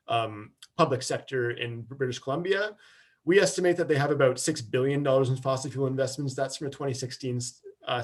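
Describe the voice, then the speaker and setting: A man giving a casual webinar slide presentation as a campaigner, explaining loosely with plenty of fillers over a video call. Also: mid-range voice.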